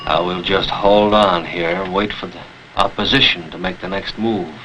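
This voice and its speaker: An actor playing a resolute, wry World War Two submarine captain, with clipped commands and dry understatement. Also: male voice, sits low, steady tone, normal speed, sharp tone, slight background noise. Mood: dry, steady. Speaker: actor in a television war drama. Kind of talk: 1950s military drama acting. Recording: dull 1950s TV soundtrack with music.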